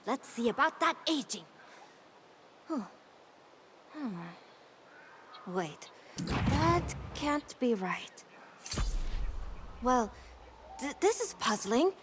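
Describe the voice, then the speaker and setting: A very high, lively female voice an excitable scholar in a fantasy game. She muses aloud with wide swings in pitch and great curiosity, over faint background noise. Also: exaggerated voice. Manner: animated, musing aloud. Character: excitable, inquisitive female scholar of ancient stones. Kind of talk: fantasy game dialogue acting.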